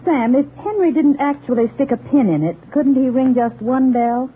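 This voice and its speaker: Through a tinny old radio recording, a fussing but indulgent mother. The voice is very high and full of lively swings, in vintage radio comedy style. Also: normal speed, breathy edge. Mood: fussing, mild. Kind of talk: 1940s radio comedy acting.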